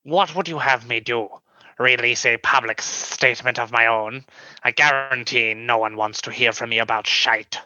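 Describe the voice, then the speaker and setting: A man's voice: medium pitch, steady tone, normal speed, sharp tone, highs cut off. A man playing a proud, aloof alien officer in a sci-fi radio drama, speaking in a formal, clipped, haughty manner. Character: proud, aloof male alien officer, dismissive of his own species.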